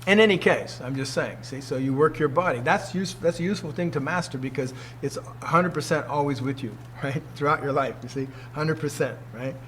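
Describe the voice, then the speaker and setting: An emphatic older man in his sixties teaches a group of students in a loose, conversational way. His voice sits fairly high for a man, and he keeps asking rhetorical questions. A faint hum runs under the recording. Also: brisk, hardly any pauses, a bit bright. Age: 60s. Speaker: martial arts teacher. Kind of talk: informal teaching talk to students.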